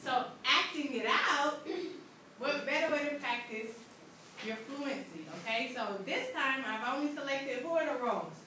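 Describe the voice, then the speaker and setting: A woman's voice: sits high, lively intonation, measured pace, sharp tone. A woman teacher leading an interactive classroom lesson, often checking understanding with short questions. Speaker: English teacher. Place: indoor school classroom. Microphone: distant room microphone. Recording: echoey, noisy classroom, far from microphone.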